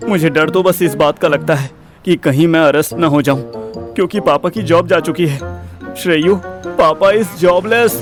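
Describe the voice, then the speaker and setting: Audio drama role: high-pitched young man played by a male actor, frustrated, protective, slangy and wisecracking. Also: moderate pace, over music, lightly acted. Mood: anxious, earnest. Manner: slangy Hinglish, exasperated, wisecracking.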